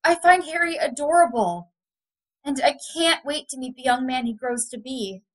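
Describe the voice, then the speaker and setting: A woman's voice: sits high, lively intonation, normal speed, rough recording. A woman playing a reluctant, earnest young mother in an audio drama.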